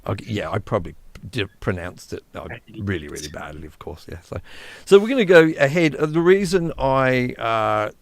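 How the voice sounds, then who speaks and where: A man hosting a casual live music-production stream, chatty and quick to laugh. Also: lowish voice. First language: English. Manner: chatty, laughing, restarts, lively. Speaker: host.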